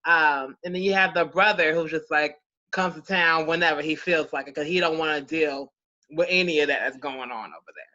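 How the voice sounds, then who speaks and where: A woman's voice: low voice, fairly quick, sharp tone, muffled recording. A woman author casually discussing her book, telling it in an emphatic, playful, slangy way.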